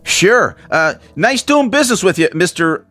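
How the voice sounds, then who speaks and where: Eager young guy, lively higher voice. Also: moderate pace, faint music behind, heavily acted. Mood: agreeable, pleased. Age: young adult. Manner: casual, agreeable. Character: eager, easygoing nineteen-year-old young man. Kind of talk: radio drama acting.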